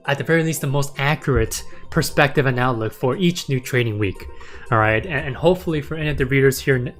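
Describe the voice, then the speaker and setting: A man hosting a stock market livestream in casual chat, his voice raspy and sore, rambling and repeating words, often tagging "right?" and "okay?". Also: medium pitch, a bit bright.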